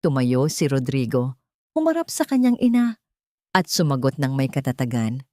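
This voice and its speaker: A woman reading a scripted dramatic story as an audiobook narrator, in a measured, solemn storytelling manner. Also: very deep voice, sing-song, mellow, dry recording, clean studio sound.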